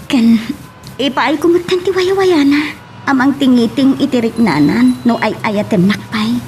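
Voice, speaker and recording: A woman's voice: sits high, unhurried. An actress playing a heartbroken young woman, devoted and pleading, in an Ilocano radio drama. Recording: music under the dialogue.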